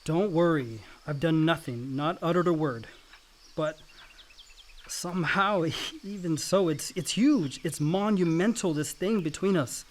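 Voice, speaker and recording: A man's voice: on the high side, measured pace, natural voice. A man acting an earnest, dutiful young adult son, the family peacemaker, in a naturalistic radio play. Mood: pained, confessional.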